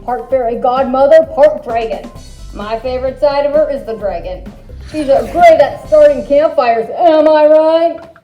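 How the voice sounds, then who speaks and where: Woman playing a high-voiced, sly hungry wolf posing as granny. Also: unhurried, dull audio. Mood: wry. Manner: theatrical, wry, droll. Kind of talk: scripted fashion show commentary, fairy tale skit. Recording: roomy echo, music behind.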